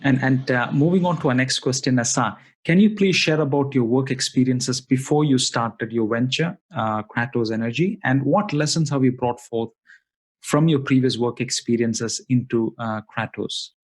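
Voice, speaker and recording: Courteous male interviewer, mid pitch, friendly question-asking. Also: moderate pace, breathy edge. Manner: polite, repeats thanks often. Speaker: interviewer, host. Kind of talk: casual interview.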